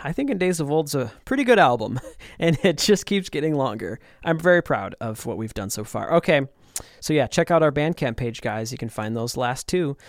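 A man's voice: medium pitch, animated, normal speed, no room sound. A man co-hosting a casual chat about music, enthusiastic and laughing often. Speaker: co-host.

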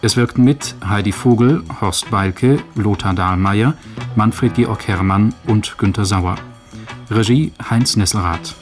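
A man's voice: lowish voice, a little slow. A man reading formal scripted announcements for a radio play. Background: music.

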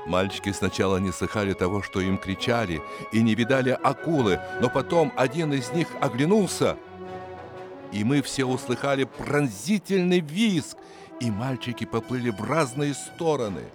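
A man reads a story as an audiobook narrator, in an expressive, suspenseful storytelling manner. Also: medium pitch, moderate pace. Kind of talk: dramatic scripted story narration. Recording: music behind the voice. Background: music.

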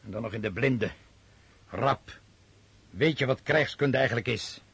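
A man acting an imperious, brooding French emperor on campaign in a radio play, with a commanding, declamatory manner and a husky voice. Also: medium pitch, unhurried, fairly bright tone, no room sound, exaggerated voice. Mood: irritable, brooding.

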